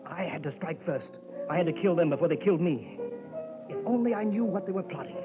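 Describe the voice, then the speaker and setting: An actor playing a bitter, sardonic drunken husband in a mid-century radio melodrama, brooding and taunting. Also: male voice, high voice, animated, fairly quick. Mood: brooding, paranoid. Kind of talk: 1940s-50s radio drama acting with brooding narration. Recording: muffled vintage radio recording with music.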